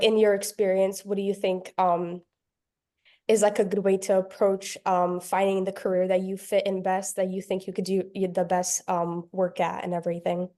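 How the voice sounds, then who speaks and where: Teen woman audience member, tentative, flat, soft-toned question. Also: mid-range voice. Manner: hesitant, many "um"s and "like"s.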